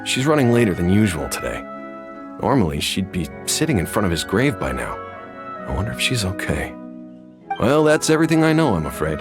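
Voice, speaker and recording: A deep-voiced, sighing game townsman. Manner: gentle, sighing, gossipy. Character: sympathetic older townsman at a graveyard.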